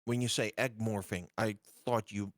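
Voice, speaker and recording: A man's voice: lowish voice, steady tone, normal speed, dry recording, clean studio sound. A man playing a tabletop game who stammers and repeats words as he asks questions. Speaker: player. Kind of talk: casual game chat.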